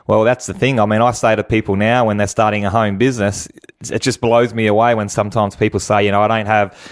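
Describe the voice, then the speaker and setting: A man's voice: lowish voice, little pitch movement, quick pace, no echo, clean studio sound. An Australian man talking persuasively and emphatically as a business guest in a conversational radio interview. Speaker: guest, home business entrepreneur.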